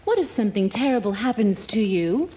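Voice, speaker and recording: A woman's voice: medium pitch, very animated, a little fast, mellow, natural voice. An actress playing a doting older woman caretaker in a game cutscene, sweet and fussing. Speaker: game character.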